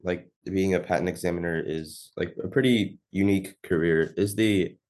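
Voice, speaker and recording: A man with a very low voice hosts a podcast interview. He reads out prepared questions quickly. He slips casual "like" fillers into his phrasing. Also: fairly even intonation, darkish tone.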